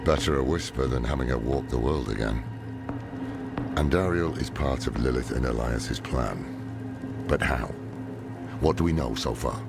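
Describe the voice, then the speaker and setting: A grave old scholar from a fantasy game. His voice is extremely low and heavy with gravelly creak. He speaks in a weary, deliberate, brooding way over loud cinematic music. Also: exaggerated voice. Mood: brooding, questioning. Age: older. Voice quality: deep gravelly voice. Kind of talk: dramatic video game acting.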